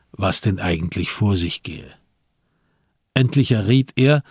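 A man narrating a radio crime drama in a measured, suspenseful literary style. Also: sits low, husky, dry recording, studio quality.

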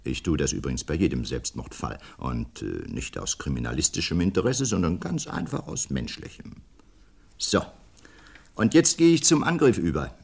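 An actor playing a sly, inquisitive middle-aged doctor in a radio crime drama, suave, ironic and probing. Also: male voice, very deep voice, big pitch swings, normal speed, vocal fry, darkish tone, a little hiss or hum, exaggerated voice. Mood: musing, sly. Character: sly, needling, inquisitive doctor who plays sleuth.

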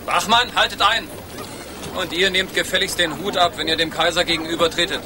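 A man's voice: high voice, steady tone, fairly quick, background noise, close to an everyday voice. An actor playing a stern male captain of the imperial guard who barks orders, in a radio play. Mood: commanding. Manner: commanding, barking orders. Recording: radio play with street ambience.

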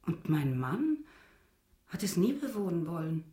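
A woman's voice: on the low side, sing-song, unhurried, dark tone, roomy sound. A woman in a German radio drama playing a genteel society wife and mother, polite, refined and mildly resentful. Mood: gracious.

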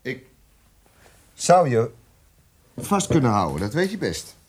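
An actor playing a condescending South African police interrogator in a radio drama, prodding with short, sardonic questions. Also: male voice, medium pitch, very animated, slow pace, slightly rough, full character voice. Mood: coolly menacing.

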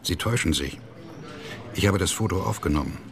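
Deep-voiced, guarded, dignified director. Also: male voice, animated, a crowd in the background. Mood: sober. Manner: measured, soft-spoken, formal. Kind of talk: radio crime drama acting.

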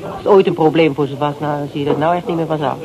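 An actress in a Dutch radio play as a frank, self-assured woman, gently teasing and probing in colloquial speech. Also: low-pitched, steady tone, racing, lo-fi sound, lightly acted. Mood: challenging, teasing. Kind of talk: naturalistic Dutch radio drama acting. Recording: radio play with crowd chatter behind.

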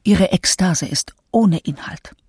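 A woman reads scripted quotations for a radio feature. Her voice is on the low side and husky, in a dry studio. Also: takes it slowly, a little mellow, no echo. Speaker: co-narrator reading quotations.

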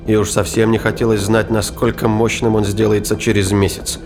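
A man's voice: on the low side, little pitch movement, brisk. A man narrating a scripted science fiction audio drama, with music behind his voice.

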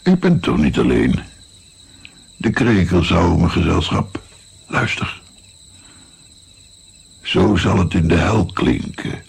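An actor playing a weary, guilt-ridden grandfather of seventy-six in a radio drama, speaking in a brooding, pausing way with a gravelly voice. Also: low voice, very animated, exaggerated voice. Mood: brooding, remorseful. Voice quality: gravelly, creaky. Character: weary, guilt-ridden 76-year-old Italian-born grandfather. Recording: faint noise, night crickets. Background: chirping crickets.

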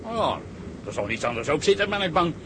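An actor playing a wealthy, affable yacht owner in a Dutch sea drama, chatty, genteel and self-satisfied. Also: male voice, on the high side, sing-song, quick pace, noisy background. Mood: resigned, cheerful. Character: wealthy, affable yacht owner, flustered when money is at stake.

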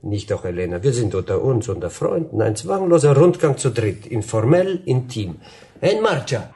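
An actor playing a smug young general-dictator in a German radio drama, gushing with oily familiarity and rambling on with jovial menace. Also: male voice, medium pitch, big pitch swings, normal speed, mellow. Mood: breezy host. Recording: roomy, echoing studio scene.

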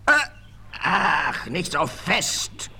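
Sharp, forceful western villain. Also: male voice, fairly high pitch, big pitch swings, extremely slow, husky, exaggerated voice. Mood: pained, relieved. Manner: curt, sharp, commanding. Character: villainous male tramp gang leader, scheming, bossy. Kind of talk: 1960s German western radio play acting. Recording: 1968 vinyl record, faint noise.

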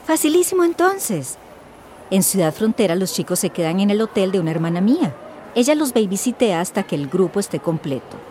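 A woman's voice: mid-range voice, very animated, brisk, warm tone, slight background noise, natural voice. An actress playing a slick, impatient female smuggler in a radio drama, slangy and mixing English words into her Spanish. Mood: breezy.

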